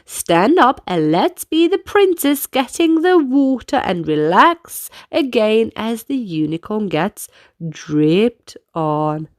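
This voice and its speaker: A woman's voice: fairly high pitch, sing-song, takes it slowly, no room sound, clean studio sound. A woman narrating a children's storybook and guiding young listeners through dance movements in an instructive manner. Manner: instructive, clearly paced delivery for young listeners.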